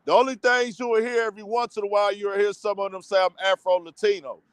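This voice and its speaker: A high-voiced man talks straight to his viewers in a casual commentary style. He keeps putting rhetorical questions to them. The sound is dry and close, with no room echo. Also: level tone, quick pace, clean tone. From United States. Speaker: commentary show host.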